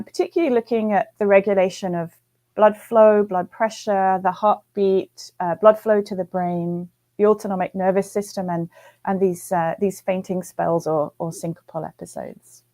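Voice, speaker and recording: A middle-aged woman from the UK with a light Northern English lilt, talking over a video call. Her voice is dark and relaxed, and she explains science patiently with small pauses and an easy laugh. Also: medium pitch. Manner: warm, laughing, thoughtful with fillers. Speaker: guest researcher. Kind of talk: conversational explaining.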